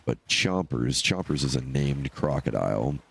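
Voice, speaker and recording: A man with a stuffed-up, nasal voice giving casual, low-key, rambling solo commentary as he live streams a game. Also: expressive, moderate pace, gravelly, fairly bright tone, a little hiss or hum. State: congested from allergies.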